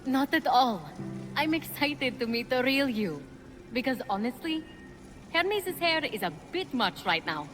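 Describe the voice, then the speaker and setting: An actress playing an earnest, encouraging young storyteller heroine in a video game. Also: female voice, sits high, very animated, a little slow, a bit bright, soft background music, heavily acted. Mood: supportive, teasing. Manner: earnest, frank.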